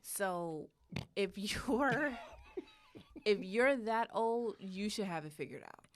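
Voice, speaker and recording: A woman's voice: mid-range voice, expressive, takes it slowly. A woman in her forties co-hosting a podcast, talking in a blunt, emphatic way and laughing easily. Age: 40s. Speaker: podcast co-host. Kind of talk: casual chat.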